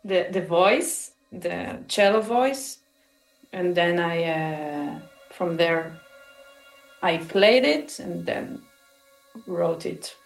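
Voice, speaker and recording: A woman's voice: fairly low pitch, animated, extremely slow, creaky. Israeli-born woman, English, thinking aloud with many restarts; echoey room, faint music beneath. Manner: thoughtful, hesitant, with many restarts. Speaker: musician guest. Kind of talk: interview answers.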